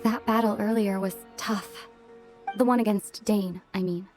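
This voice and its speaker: A woman's voice: medium pitch, steady tone, measured pace, a little mellow, faint music behind, lightly acted. Woman voicing a composed, wistful young warrior princess. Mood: calm, reflective. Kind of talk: dramatic video game dialogue.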